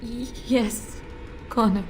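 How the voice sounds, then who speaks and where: A woman playing an anguished noblewoman, a pleading and halting mother in a dramatic video game. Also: a little high, steady tone, slight background noise. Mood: fearful, subdued.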